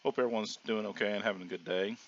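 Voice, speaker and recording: A hoarse man casually narrating his own gameplay as a channel host, rambling with plenty of ums and restarts. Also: fairly low pitch, fairly even intonation, quick pace. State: hoarse from sinus trouble. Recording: dull lo-fi audio.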